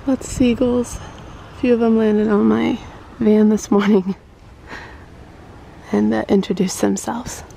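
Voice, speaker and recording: A woman's voice: medium pitch, little pitch movement, measured pace, creaky, pauses often, slight background noise, poor audio. A woman in her twenties chatting casually as a travel vlogger into a handheld camera. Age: 20s. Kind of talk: casual vlog chat. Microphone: handheld camera at arm's length. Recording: loud music over handheld outdoor video.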